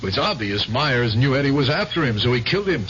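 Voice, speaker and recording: An actor playing a wary, calculating partner in a manhunt, with a deliberate, cautionary radio drama delivery. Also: male voice, mid-range voice, very animated, lightly acted. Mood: grim, uneasy. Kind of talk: American old-time radio drama acting. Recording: muffled, noisy old radio recording.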